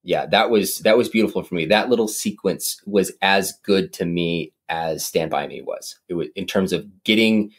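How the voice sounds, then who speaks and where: A very deep-voiced man holds forth on a horror film in relaxed podcast chat. He rambles, doubles back on himself, and fills his sentences with "like" and "um.". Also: normal speed, few pauses. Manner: animated, rambling, mimics voices with big pitch jumps. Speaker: podcast co-host. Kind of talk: casual movie discussion.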